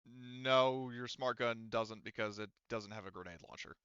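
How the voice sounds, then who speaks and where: Man, game master, halting casual rules talk, smooth voice, dry slightly muffled recording. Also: medium pitch, steady tone, sharp tone, no room sound. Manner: halting, self-correcting.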